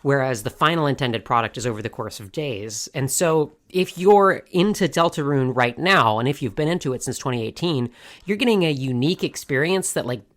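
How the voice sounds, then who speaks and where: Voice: medium pitch, lively intonation, fairly quick, a bit bright. A podcast co-host chatting casually, speaking articulately and steering the topic. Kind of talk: casual chat.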